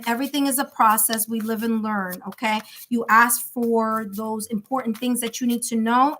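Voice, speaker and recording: A woman's voice: a little high, sharp tone. Chatty New York tarot reader woman. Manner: chatty, reassuring, thinks aloud with fillers. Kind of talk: live tarot reading stream.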